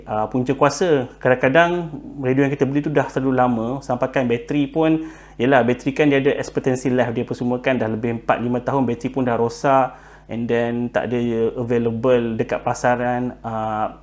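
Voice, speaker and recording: A man with a Malaysian accent presents an informal tutorial as a channel host, mixing in English words and often pausing on hesitant fillers. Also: medium pitch, darkish tone. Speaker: YouTube channel host giving buying tips.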